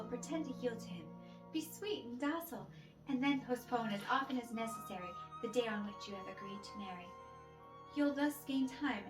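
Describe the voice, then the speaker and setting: Woman playing a sassy, bossy high-voiced maid. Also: close to an everyday voice. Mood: scheming. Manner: theatrical, exasperated scolding. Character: sassy, bossy ladies' maid, sharp-tongued and scolding. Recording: roomy echo with music behind.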